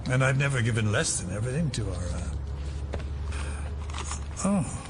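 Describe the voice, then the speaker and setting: An actor playing a shadowy veteran conspirator in an audio drama, guarded and formally polite, deferential yet sly. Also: male voice, medium pitch, big pitch swings, moderate pace, background noise, close to an everyday voice.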